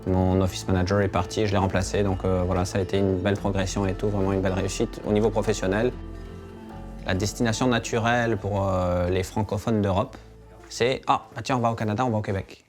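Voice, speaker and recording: A man speaking casually about his own experience in a testimonial interview. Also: fairly low pitch. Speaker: immigrant professional. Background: music bed.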